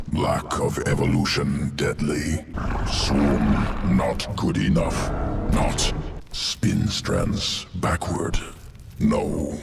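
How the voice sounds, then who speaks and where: A man's voice: extremely low pitch, full character voice. A man voicing a cold, guttural alien insectoid geneticist in a video game, speaking in clipped, detached, clinical fragments. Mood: muttering, displeased. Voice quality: guttural, gravelly. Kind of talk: video game character acting. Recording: roomy echo, distant, noisy game ambience.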